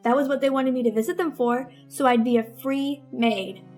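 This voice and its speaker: Woman playing a high-voiced, scheming teenage girl. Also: fairly even intonation, normal speed, darkish tone, faint music behind, close to an everyday voice. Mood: bitter, resentful. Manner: melodramatic, resentful. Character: bitter, scheming teenage girl feigning sweetness. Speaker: narrating lead in a radio melodrama. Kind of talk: old-time radio suspense acting.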